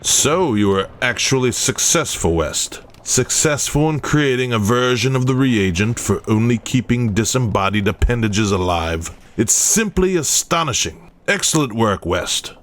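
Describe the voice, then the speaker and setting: An actor playing an eager, ambitious army major awed by grim research, with theatrical, emphatic delivery in a horror audio drama. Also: male voice, mid-range voice, expressive, a little slow, few pauses, a bit bright. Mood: amazed. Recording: faint background noise.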